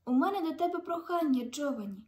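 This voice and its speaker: Woman as a kindly old wizard, high-pitched. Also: lively intonation, quick pace, roomy sound. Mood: grateful. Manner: warmly welcoming, emphatic. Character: kindly old male chief wizard of a fairy tale.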